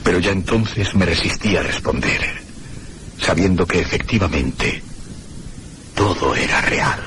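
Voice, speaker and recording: An actor playing a haunted man recounting his ordeal in a radio horror drama, with theatrical, deliberate phrasing. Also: deep voice, expressive, fairly bright tone, slight background noise. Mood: haunted dread.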